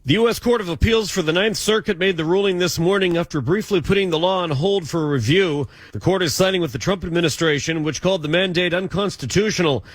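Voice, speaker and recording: A man's voice: high-pitched, fast talker, no echo. A man reading a scripted news report as a radio reporter.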